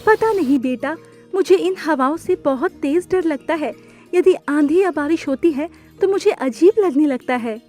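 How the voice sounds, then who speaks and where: A woman's voice: high voice, a little slow, darkish tone, faint music behind, pitched above the performer's own voice. A woman voicing an anxious, tearful mother bird in a children's cartoon, with a plaintive, lamenting manner. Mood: frightened.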